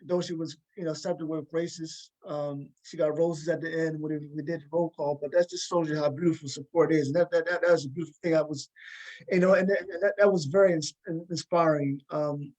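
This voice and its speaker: A man hosts a casual talk show interview, speaking quickly in a slightly high voice. He is effusive and tends to repeat words and phrases as he goes. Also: fairly even intonation, a little mellow, rough recording. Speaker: talk show host and playwright.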